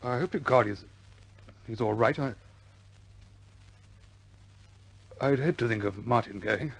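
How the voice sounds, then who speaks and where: An actor playing a concerned senior air force commander in a radio war drama, reserved and halting but quietly authoritative. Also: male voice, medium pitch, breathy edge. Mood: worried. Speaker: commanding officer.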